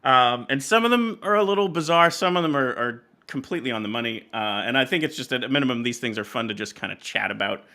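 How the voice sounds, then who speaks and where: A man's voice: medium pitch, expressive, fast, few pauses, pushed and bright. A man hosting a casual chat podcast, talking in a rambling, hesitant way and often interrupting himself. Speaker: podcast host.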